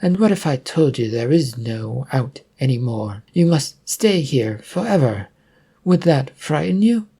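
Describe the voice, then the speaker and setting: Storybook figure: menacing bodiless voice, insistent and ominous, dark and husky, voiced by a man. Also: medium pitch, expressive, unhurried, heavily acted. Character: disembodied menacing voice trapping travelers.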